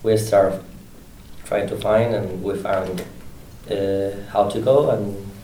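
A man's voice: on the low side, steady tone, takes it slowly, warm tone. A seventeen-year-old male exchange student from Spain, a native Spanish speaker, chatting in an interview. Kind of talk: casual interview answers. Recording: roomy, distant mic, faint noise.

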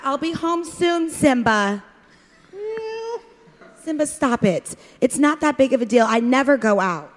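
A woman's voice: high-pitched, expressive, measured pace, long pauses, a little hiss or hum. A woman improvising a flustered, chatty, eager-to-please diner waitress in a comedy parody of a radio play. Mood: pleading, affectionate. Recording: reverberant hall sound.

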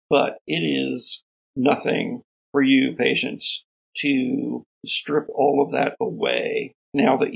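A man with heavy vocal fry and a husky edge acts as game master. He explains the scene in long, wordy, improvised narration. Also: medium pitch, rough recording. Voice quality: gravelly, husky.

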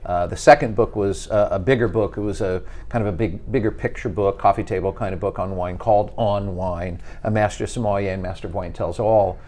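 A middle-aged wine writer, chatting as a guest and telling wry, animated stories. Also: male voice, fairly low pitch, brisk, few pauses. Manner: animated storyteller, wry, mimics voices in quotes. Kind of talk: casual interview chat.

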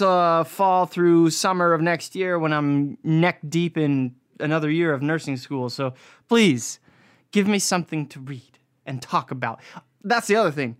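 A young American man talks as a solo podcast host, with a voice a little on the high side. He rambles informally through a casual review and readily pokes fun at himself. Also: fairly bright tone. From United States. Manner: rambling, self-deprecating, informal.

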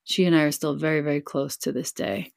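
A middle-aged woman from New York, a guest speaking softly and calmly into a headset. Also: low-pitched, vocal fry, dry recording, studio quality. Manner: soft, calm and unhurried. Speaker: guest, yoga teacher. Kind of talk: casual conversation.

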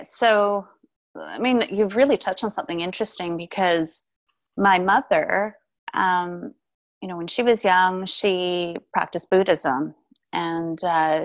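A woman in her forties from Taiwan, raised in Canada, speaking thoughtfully with many pauses and restarts as an interview guest. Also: fairly low pitch, dry recording. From Taiwan, raised in Vancouver, Canada. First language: Mandarin. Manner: thoughtful, with frequent ums and restarts. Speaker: guest, shamanic practitioner. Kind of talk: casual interview talk.

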